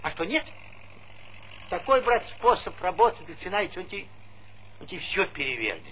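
A garrulous old countryman in a Soviet stage comedy. His voice is bright, forceful and very lively in pitch as he needles and pontificates. The old theatre recording carries faint noise. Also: normal speed. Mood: opinionated. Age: older. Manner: garrulous, folksy, needling, chuckling interjections. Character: talkative, teasing old village pensioner, needling and opinionated. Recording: noisy 1970s theatre recording.

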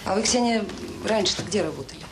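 An actress playing a warm, determined director of a children's home in a radio drama, brisk and plain-spoken with hesitant pauses. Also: female voice, mid-range voice, expressive, natural voice. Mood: friendly, frank. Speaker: orphanage director. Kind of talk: naturalistic radio drama acting. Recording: noisy old radio play recording.

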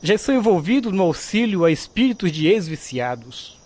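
A high-pitched, reluctant volunteer. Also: male voice, sing-song, moderate pace, darkish tone. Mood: polite refusal. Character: polite, firmly reluctant busy male volunteer. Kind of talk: radio drama acting.

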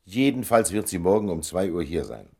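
An actor in a German radio play voicing a courteous, diplomatic gentleman, urbane and measured, with a gravelly, husky voice. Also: low-pitched, lively intonation, exaggerated voice. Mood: calm.

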